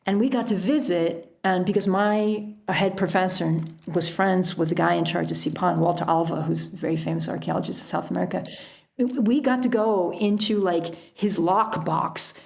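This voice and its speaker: Middle-aged woman with a creaky voice. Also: lowish voice, moderate pace, darkish tone. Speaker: podcast guest, author and sailor. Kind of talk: casual interview storytelling.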